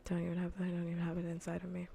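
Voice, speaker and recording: Low, soft half-whispering woman streamer. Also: completely flat delivery, rapid-fire, a little hiss or hum. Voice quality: soft, breathy near-whisper. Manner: blunt, sardonic, profane rambling. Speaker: livestreamer hosting an ASMR gaming stream. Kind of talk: casual ASMR livestream chat, soft and half-whispered.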